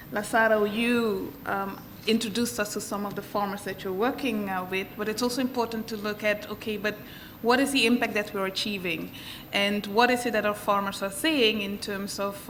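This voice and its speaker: Female panel moderator, polite, steady mid voice, light hiss behind. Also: medium pitch. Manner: polite, guiding, some fillers.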